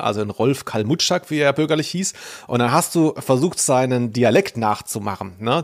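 A man hosting a podcast in casual, joking chat, with an irreverent, slangy way of talking. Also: medium pitch. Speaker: podcast host.

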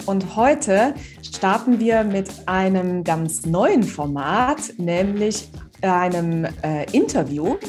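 A woman's voice: medium pitch, a little mellow. Woman hosting a podcast, faint music behind. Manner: hesitant, many fillers. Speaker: podcast host. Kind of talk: casual podcast interview.

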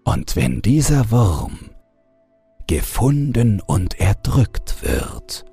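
Male reader as deathless old fairy-tale villain, sly, menacing, very breathy, creaking low voice, drawn-out words. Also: big pitch swings, mellow, faint music behind, exaggerated voice. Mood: mocking, secretive. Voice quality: breathy, gravelly rasp.

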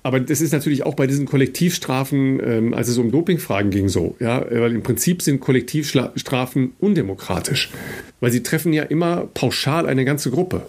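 A man hosting a podcast interview, talking in a halting way with many fillers and tag questions like "ja?" and "ne?". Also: medium pitch, expressive, darkish tone. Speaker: podcast host.

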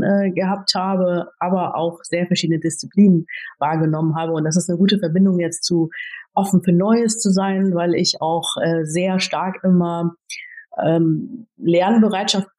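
A woman in her forties, born in the Philippines and raised in Germany, answering interview questions in a measured, thoughtful way with frequent fillers. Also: on the low side, fairly even intonation, warm tone. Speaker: podcast guest, tech executive.

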